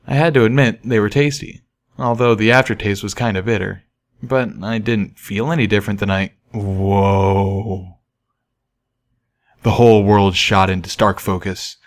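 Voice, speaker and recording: A man reading a scripted first-person story aloud as an audiobook narrator. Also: lowish voice. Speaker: audiobook reader.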